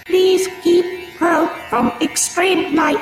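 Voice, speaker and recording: A woman's voice: very high voice, steady tone, slow pace, roomy sound, faint music behind, voice pushed up. A woman voicing a tiny red metal alien bug with a tinny, synthesized voice and clipped, machine-like phrasing. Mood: flat.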